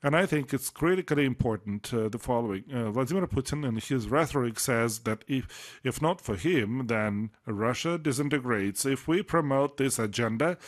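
A man interpreting a formal speech live, delivering it in halting phrases with frequent hesitations. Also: medium pitch. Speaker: simultaneous interpreter.

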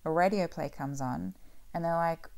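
Low, creaky-voiced woman chatting. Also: normal speed, a little mellow. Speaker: podcast host. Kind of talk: casual discussion.

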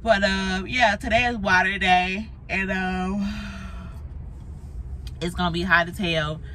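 A young woman with a raspy, hoarse voice, a teacher chatting candidly to a phone camera on her dashboard while she drives. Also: medium pitch, steady tone, slow. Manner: candid, chatty, swears casually. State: voice going hoarse. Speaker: teacher vlogging. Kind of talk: casual chat. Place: inside a moving car. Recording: loud road noise from driving.

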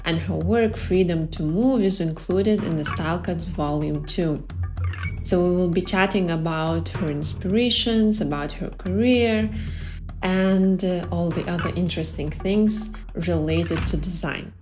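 A woman's voice: lowish voice, unhurried, music in the background. A woman hosting a podcast, welcoming her guest in an upbeat, friendly way and asking interview questions. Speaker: podcast host. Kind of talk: scripted podcast intro and questions.

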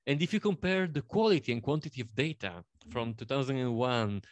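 A man in his thirties with an Italian accent, introducing himself on a panel in a halting way, with many fillers and restarts. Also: mid-range voice, animated, dry recording. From Italy. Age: 30s. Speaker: panel guest.

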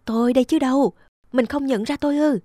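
A woman voicing a gentle, affectionate young peasant wife in a cartoon folktale. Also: high voice, fairly even intonation, a little fast, dry recording, studio quality, pitched above the performer's own voice, natural voice. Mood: eager, affectionate.

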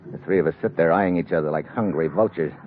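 An actor playing a tough, wary American secret agent in an old-time radio adventure, terse and hard-boiled. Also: male voice, low-pitched, fast, noisy background, close to an everyday voice. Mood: tense narration. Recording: muffled vintage radio recording with music.

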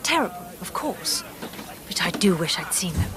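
An actress playing a questioning, politely stubborn English wife in a radio drama, with an English accent. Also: female voice, fairly low pitch, very animated, moderate pace, very noisy. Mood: reluctant, wistful.

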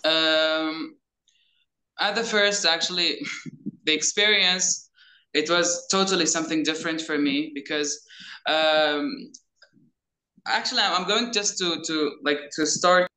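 Young man from syria, very bright voice, hesitant restarts, echoey room, video call. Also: a little high, a little slow, pauses often. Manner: hesitant, restarts often, laughs lightly. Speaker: student. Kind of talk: casual class chat. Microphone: laptop mic on video call.

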